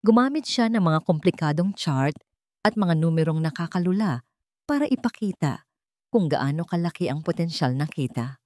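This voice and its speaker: Babaeng Pilipinang tagapagsalaysay, mababa ang boses. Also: female voice, lowish voice, darkish tone, no room sound, studio quality. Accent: Filipino. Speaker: story narrator. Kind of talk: scripted dramatic story narration.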